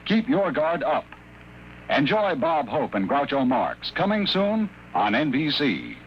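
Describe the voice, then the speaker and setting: Animated male vintage radio ad announcer. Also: fairly high pitch, measured pace. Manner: emphatic, formal, salesman-like. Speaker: advertisement announcer. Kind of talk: 1950s radio recruitment ad. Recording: muffled old radio, faint hum.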